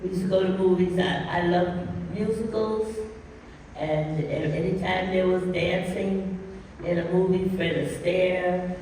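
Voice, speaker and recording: An older woman from Harlem spins a long story from her youth, animated and quick to laugh. Her voice comes from a distance across a large hall, with a long echo trailing each phrase and faint background noise. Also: on the low side. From Harlem, New York. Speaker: guest dancer. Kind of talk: storytelling on a panel. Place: large hall at a dance camp.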